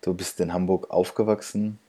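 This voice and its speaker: A man's voice: low voice, flat delivery, moderate pace, mellow, slightly rough. A man hosting a podcast, chatting casually, laughing often and restarting his sentences. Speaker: podcast host. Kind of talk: casual interview chat.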